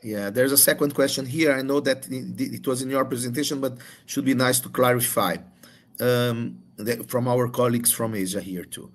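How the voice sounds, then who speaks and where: A man moderating a live Q&A, putting questions in a halting way as he searches for words and rephrases. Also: mid-range voice, fairly even intonation. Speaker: moderator relaying audience questions.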